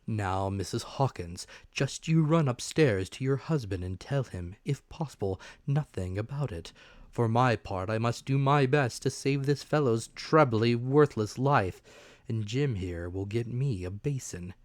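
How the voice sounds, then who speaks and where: A man voicing a brusque, commanding country doctor who speaks emphatically and deliberately in a storybook reading. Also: mid-range voice, dry recording, close to an everyday voice. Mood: brisk, dismissive.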